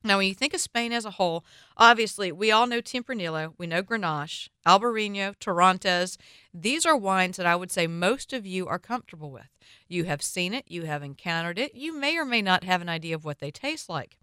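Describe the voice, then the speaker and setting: A bright, forceful woman with a Southern US accent, speaking as a wine educator in a lively, chatty way. Her voice sits a little low and comes across smooth and crisp. The sound is dry and close. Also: brisk, no room sound. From American South. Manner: lively, chatty, confident, playful asides. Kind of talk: solo talk to camera on wine trends.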